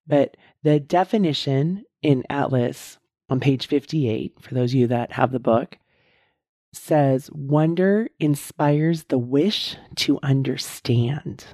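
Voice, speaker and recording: Hoarse woman podcast host. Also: extremely low pitch, dark tone, dry recording, clean studio sound. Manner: earnest, encouraging, repeats key points slowly. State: hoarse, strained voice. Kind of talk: casual solo podcast talk.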